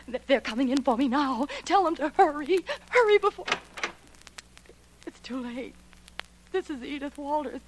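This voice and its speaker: High-voiced woman in danger. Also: fairly bright tone. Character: threatened woman, haughty then desperately frightened. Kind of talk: 1940s radio melodrama acting. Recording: noisy old 1940s radio recording.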